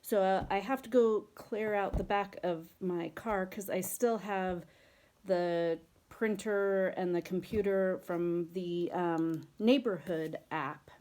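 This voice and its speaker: A woman's voice: lowish voice, mellow, no room sound. A middle-aged woman chatting casually in a home vlog, telling rambling stories with lots of fillers. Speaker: vlogger reselling scrap. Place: at home, garage and car.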